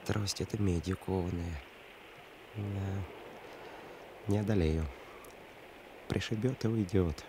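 An actor playing a defiant, jittery young thief in a radio drama, stubborn and streetwise. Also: male voice, sits low, steady tone, takes it slowly, pauses often, warm tone, a little hiss or hum. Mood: anxious resolve.

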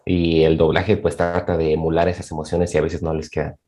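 A man's voice: very deep voice, flat delivery, fairly quick, dark tone. A young man with a Mexican accent chatting casually with friends, laid-back and slangy. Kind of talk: casual chat among friends.

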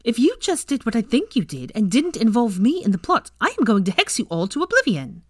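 A woman's voice: high voice, sing-song, brisk, darkish tone, dry recording, clean studio sound, pitched above the performer's own voice. A woman voicing a fiery, scheming teenage witch in a story reading, bold and eager. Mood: threatening.

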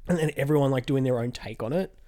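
A young man co-hosting a podcast in casual chat, animated, filling his talk with "like" and mocking others in quick impressions. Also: medium pitch. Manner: animated storyteller, mimics others mockingly, many "like"s. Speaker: podcast co-host.

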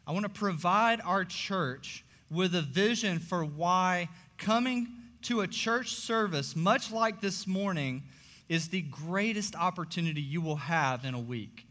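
A young man, a pastor, preaching a sermon in a church auditorium, his voice slightly hoarse, earnest and full of "uh" fillers. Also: high-pitched, animated, a little slow, sharp tone. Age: young adult. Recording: long reverb of a large hall.

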